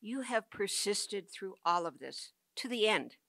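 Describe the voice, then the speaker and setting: A woman's voice: mid-range voice, expressive, measured pace, a bit bright, no echo, clean studio sound. Woman speaking at a commencement podium. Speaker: college president. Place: indoor stage with podium. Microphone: podium mic.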